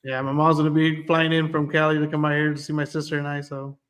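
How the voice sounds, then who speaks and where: A man in a podcast chat who rattles off quick stories at a brisk clip. His voice runs a little high for a man, and he acts out what others told him. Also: completely flat delivery, a bit bright. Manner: fast storytelling, acts out quotes. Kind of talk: casual chat.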